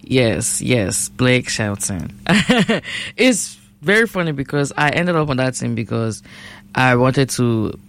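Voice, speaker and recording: A Cameroonian singer with a Cameroonian accent, chatting easily as an interview guest and laughing often. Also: male voice, medium pitch, animated, takes it slowly, creaky, fairly bright tone. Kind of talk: casual interview chat.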